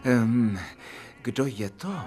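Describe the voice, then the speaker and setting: A man's voice: mid-range voice, dark tone, natural voice. An actor playing a male doctor who assists a professor, earnest and questioning, in a radio drama. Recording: radio drama with music behind.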